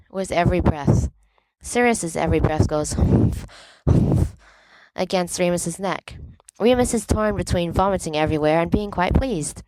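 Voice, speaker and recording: A woman's voice: on the low side, darkish tone, no echo, low-quality recording. A woman reading fiction aloud as an audiobook narrator, with a wry, emphatic comic delivery. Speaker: volunteer audiobook reader of fan fiction. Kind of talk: expressive scripted narration of a story.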